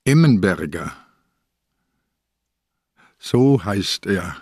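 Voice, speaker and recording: Husky, slow-spoken old police inspector. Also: male voice, sits low, expressive, darkish tone, no echo, studio quality, exaggerated voice. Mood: probing. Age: older. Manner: deliberate, probing, unflinching. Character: ailing old police inspector, calm, probing, relentless. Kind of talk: German radio crime drama acting.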